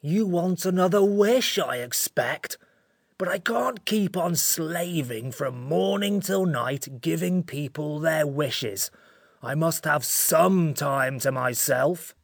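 A woman voicing a grumpy, weary, self-pitying furry magical creature in a storybook, with slow, complaining delivery. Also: deep voice, lively intonation, creaky, dry recording, clean studio sound, heavily acted.

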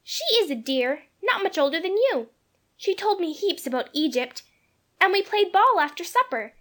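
A man's voice: very high-pitched, big pitch swings, normal speed, a bit bright, pitched above the performer's own voice, exaggerated voice. A man voicing an eager little girl in an audiobook, chattering breathlessly. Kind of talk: audiobook character voice.

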